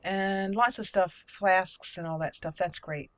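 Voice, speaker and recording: A woman giving chatty gameplay commentary as host, slipping now and then into measured in-character roleplay speech. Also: mid-range voice, fairly bright tone. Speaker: let's play video host. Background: game music.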